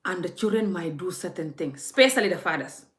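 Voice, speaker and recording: A woman with a fairly low voice delivers a heated vlog rant, scolding and forthright, talking straight to her viewers. The room around her rings with a noticeable echo. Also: lively intonation, a little fast. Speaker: vlogger. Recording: echoey room.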